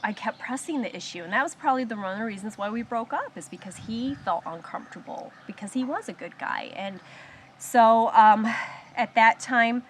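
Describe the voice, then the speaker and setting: Halting, soft-spoken woman telling her story. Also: fairly high pitch, animated, a little hiss or hum. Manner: halting and reflective, with pauses and restarts; slows down and softens on painful memories. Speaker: abuse survivor sharing her story. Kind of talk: personal testimony in a support circle.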